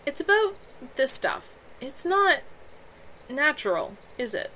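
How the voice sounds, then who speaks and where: A woman reading a novel aloud, voicing an anxious, unsure teenage boy who asks halting questions. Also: sits high, sing-song, pushed and bright, a little hiss or hum, pitched above the performer's own voice, heavily acted. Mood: hesitant.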